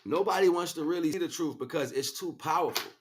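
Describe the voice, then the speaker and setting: A man's voice: a little high, fast talker, background noise. A man livestreaming an informal spiritual lecture, speaking off the cuff with emphatic repeated phrases and frequent check-ins with his listeners. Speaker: livestream host, spiritual teacher.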